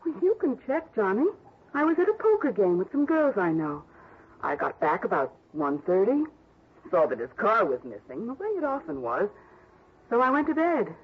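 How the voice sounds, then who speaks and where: A brash, mercenary widow. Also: female voice, medium pitch, big pitch swings, moderate pace, breathy edge. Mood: blunt. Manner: breezy, blunt, slangy. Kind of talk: 1960s radio drama acting. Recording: dull old radio broadcast.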